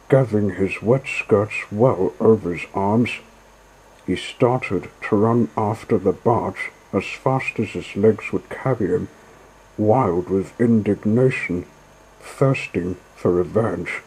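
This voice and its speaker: A man's voice: on the low side, slow, a little mellow, breathy edge, low-quality recording. A man reading a classic children's story aloud as a scripted narration.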